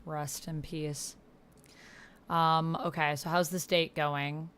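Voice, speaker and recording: Low-pitched woman chatting on a stream. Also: level tone, slow, a bit bright, a little hiss or hum. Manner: chatty, informal, thinking aloud while typing. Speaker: streamer hosting a solo actual-play roleplaying game. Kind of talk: casual livestream chat, reading out typed roleplay. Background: computer keyboard typing.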